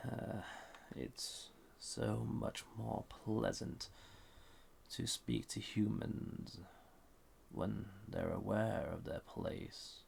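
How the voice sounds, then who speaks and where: Man voicing a very gravelly, flirty villain. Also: lowish voice, a little mellow, slight background noise, full character voice. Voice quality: husky, gravelly. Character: flirty, dangerous banished male villain, condescending toward humans. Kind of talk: roleplay audio drama monologue.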